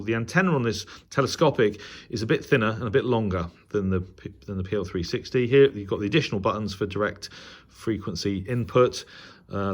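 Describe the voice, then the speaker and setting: A British man giving a casual, unscripted radio review as a hobbyist vlogger, rambling along in a hesitant, halting way. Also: lowish voice. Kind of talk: casual unboxing and review talk.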